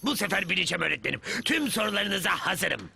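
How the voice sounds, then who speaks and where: Cocky cartoon villain boss, super gravelly, voice swoops around, music behind. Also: male voice, high-pitched, very animated, fast, pushed and bright, heavily acted. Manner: pompous, theatrical. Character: boastful scheming mischief-maker boss, male, dim but cocky. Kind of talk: children's cartoon voice acting.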